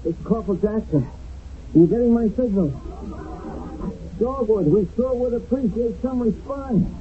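An actor playing a commanding army sergeant on patrol, urgent and decisive, in a radio drama recorded from an old broadcast. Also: male voice, high-pitched, a little slow, phone-quality sound, a little hiss or hum. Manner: urgent, decisive, giving orders.

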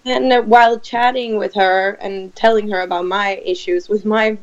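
A raspy-voiced woman from Sweden in her thirties, a rock singer answering interview questions in a chatty way with lots of fillers and restarts. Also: mid-range voice, steady tone, moderate pace, muffled recording, a little hiss or hum.

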